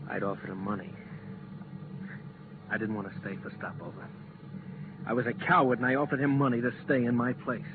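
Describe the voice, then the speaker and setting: A man plays a guilt-ridden astronaut in an old radio play. His medium-pitched voice is terse and subdued, with an edge of bitterness. The sound is dull and has clear background noise. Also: steady tone, fairly quick, long pauses, close to an everyday voice. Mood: ashamed. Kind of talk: 1950s radio drama acting. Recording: muffled, noisy 1950s radio transcription.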